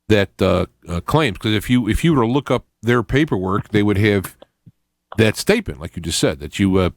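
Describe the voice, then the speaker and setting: Low-voiced male radio host, very dry sound. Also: dry recording. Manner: interjecting, explaining at length, colloquial. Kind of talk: conversational radio interviewing.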